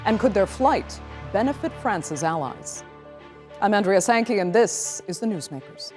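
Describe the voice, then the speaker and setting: News anchor lady, mid voice, clear scripted read, studio sound. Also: mid-range voice, expressive, over music. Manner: clear, measured delivery with emphatic openers. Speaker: news show host.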